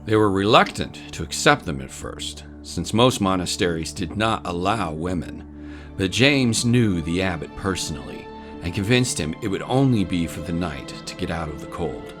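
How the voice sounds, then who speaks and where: A man narrates a dramatic tale in a very low voice. His scripted storytelling is set over clear background music. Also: expressive, normal speed, a bit bright.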